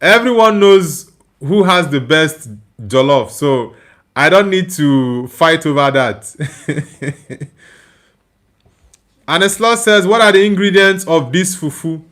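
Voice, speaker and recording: A man's voice: fairly high pitch, lively intonation, takes it slowly, pauses often, a bit bright. Chatty man reacting to a match. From Nigeria. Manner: chatty, laughs often while reading viewer comments. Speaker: watchalong streamer. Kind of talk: casual live match reaction and chat reading.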